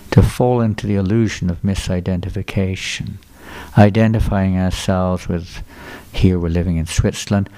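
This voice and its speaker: A man's voice: sits low, warm tone. An older man gives a sermon lecture to a temple audience, asking people questions and repeating their answers back to them. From England. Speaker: visiting speaker at a temple. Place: temple hall before a live audience.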